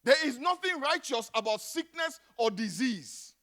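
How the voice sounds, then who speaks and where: A middle-aged Nigerian man preaching a sermon, dropping to a hush and then rising to loud exclamations. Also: very high voice, big pitch swings, measured pace. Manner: dramatic, swings from hushed to shouting. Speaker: pastor.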